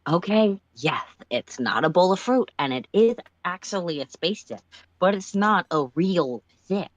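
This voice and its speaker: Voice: medium pitch, lively intonation, normal speed, no echo, pitched above the performer's own voice, natural voice. A storyteller voicing a blunt, bossy male alien spaceship crewmate, curt and sardonic in manner. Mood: argumentative. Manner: curt, sardonic, matter-of-fact.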